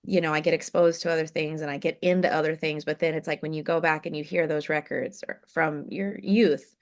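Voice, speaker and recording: A woman in her thirties with a somewhat low speaking voice. She talks casually and chattily as an interview guest, with frequent fillers and light laughter. Her telling is relaxed and full of small asides. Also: brisk. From Oklahoma, USA. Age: 30s. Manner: chatty, warm anecdotes with many fillers and laughs. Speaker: jazz singer guest.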